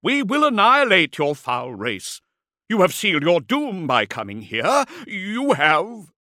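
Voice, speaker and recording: A pompous, pathetic high lord, performed by a male narrator in a science-fiction audiobook. He shouts empty defiance in a raised, forceful voice that keeps faltering. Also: wildly swooping pitch, unhurried, dry recording, studio quality, exaggerated voice. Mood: defiant bluster.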